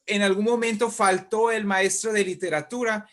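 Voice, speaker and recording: A man's voice: sits high, steady tone, normal speed, fairly bright tone. A man with a Mexican accent, a guest writer telling anecdotes in an interview, hesitant and repeating his words.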